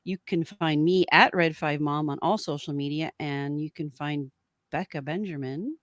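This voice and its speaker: A woman with a slightly low voice hosts a casual live stream. She rambles informally and is prone to giggles, and the sound is dry and close. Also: moderate pace, no room sound. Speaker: podcast host.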